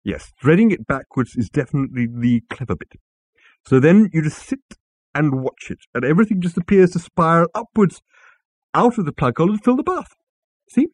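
A man's voice: on the high side, very animated, a little fast, slightly rough, no room sound, pitched above the performer's own voice. A man voicing an easygoing, tipsy male alien traveller who rambles on. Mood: rambling. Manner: chatty, rambling.

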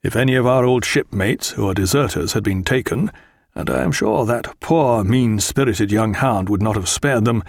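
A man's voice: mid-range voice, creaky, dry recording, clean studio sound. A man voicing a big, authoritative British sea captain in an audiobook, with a bluff, blunt manner.

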